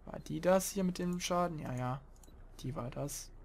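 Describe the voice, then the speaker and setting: A young man hosting a casual let's play, chatting and grumbling loudly about teammates as he plays. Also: a little high, normal speed, gravelly, slight background noise. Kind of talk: casual gaming commentary.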